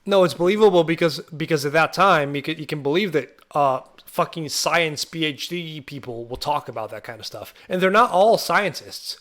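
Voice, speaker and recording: A man co-hosting a podcast, chatting casually about a film in a rambling, excitable way. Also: a little high, moderate pace, few pauses. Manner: rambling, excitable, does sound effects and quoted voices. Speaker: podcast co-host.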